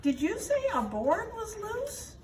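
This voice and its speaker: A woman's voice: very high voice, wildly swooping pitch, takes it slowly, pitched above the performer's own voice, full character voice. A woman voicing a naive young male pig in a children's story, plaintive and hesitant. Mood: hesitant, curious. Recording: noisy room, distant microphone.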